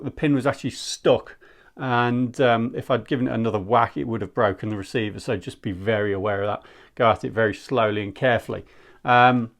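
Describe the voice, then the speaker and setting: A man giving a casual, candid product review in a conversational, rambling way. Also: medium pitch. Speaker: airsoft gear reviewer.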